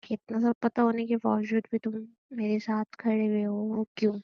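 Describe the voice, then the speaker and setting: A woman acts out a young wife in a romantic call drama, worried and questioning yet teasing. Her voice sits fairly high. The sound is close and dry, a bit dull and lo-fi. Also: monotone, normal speed, dry recording, natural voice. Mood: uneasy, doubtful. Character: worried, doubtful young wife, playfully threatening. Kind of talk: romantic phone-call style audio drama in Hindi.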